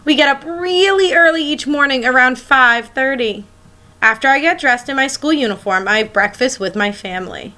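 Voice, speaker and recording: A woman's voice: high voice, normal speed, pushed and bright. A woman schoolteacher reading a children's picture book aloud, expressively and as if speaking directly to young listeners.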